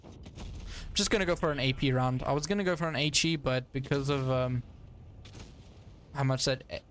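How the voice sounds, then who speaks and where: A man's voice: mid-range voice, normal speed, a bit bright, a little hiss or hum. A young man giving casual live commentary while playing a tank game, chatty with frequent fillers and frustrated asides. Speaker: gaming streamer.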